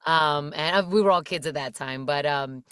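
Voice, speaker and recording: A woman's voice: deep voice, moderate pace, a bit bright, clean tone, dry recording, studio quality. A woman in her thirties from the Washington DC area, chatting casually as a podcast guest, with lots of fillers and frequent laughter. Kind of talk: casual interview chat.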